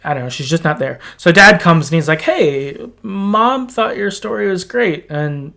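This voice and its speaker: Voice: mid-range voice, animated, normal speed, creaky, pushed and bright. Informal podcast host retelling a game story. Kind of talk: casual chat, retelling a video game's story.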